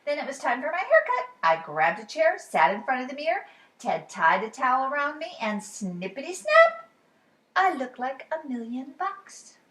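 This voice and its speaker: A woman reading a children's story aloud as expressive storytime narration, animated and with dramatic pauses. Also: high voice, slight background noise. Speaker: storybook reader.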